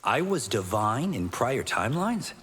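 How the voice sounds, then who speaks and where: An earnest young warrior for a video game, lively and eager. His voice rings with long reverb over a faint hiss of noise. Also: mid-range voice, measured pace. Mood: earnest, curious. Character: earnest young male warrior, destined thunder champion. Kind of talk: video game character dialogue.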